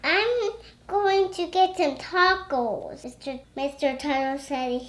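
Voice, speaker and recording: A young child reading a storybook aloud and chatting, stumbling haltingly over words. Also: sits high, fairly even intonation, a bit bright, echoey room. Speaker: young reader.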